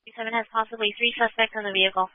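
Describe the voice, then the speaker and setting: A woman's voice: fairly high pitch, little pitch movement, very fast, no room sound. A woman working as a police radio dispatcher, relaying traffic in a clipped, procedural way over the radio. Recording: thin phone-like police radio channel.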